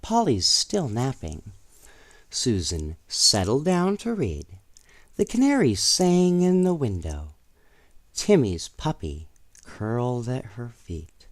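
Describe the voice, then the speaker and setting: A man reading children's stories aloud in an expressive, playful way, with casual asides to young listeners. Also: mid-range voice, takes it slowly, no room sound. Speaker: storyteller.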